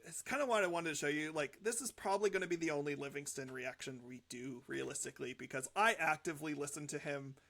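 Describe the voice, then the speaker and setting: A man's voice: on the high side, quick pace, creaky, few pauses, sharp tone. A young man hosting a music reaction show, talking casually in an earnest, reflective, rambling way. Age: young adult.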